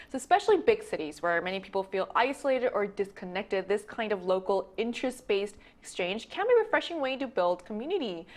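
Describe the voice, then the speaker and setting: Lively, quick-talking woman host. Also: medium pitch. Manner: lively, leads questions to panelists. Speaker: news segment host. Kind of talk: studio news discussion. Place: broadcast studio.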